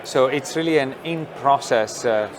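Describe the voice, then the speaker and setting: A middle-aged man, a company founder explaining technology in an interview, thoughtful with many pauses and fillers. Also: mid-range voice, a crowd in the background. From Italy. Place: trade show booth studio.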